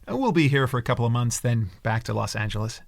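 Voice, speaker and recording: An actor playing an easygoing, practical house flipper with a casual, understated delivery in a screenplay reading. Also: male voice, mid-range voice, animated, fast, gravelly, no echo. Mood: friendly, polite. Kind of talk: screenplay table-read acting.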